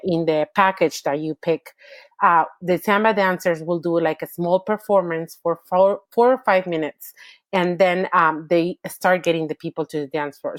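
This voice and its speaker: Peruvian woman, low and dry. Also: no room sound. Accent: Peruvian Spanish. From Peru. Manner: upbeat, restarts often. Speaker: entertainment business owner. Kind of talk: interview guest.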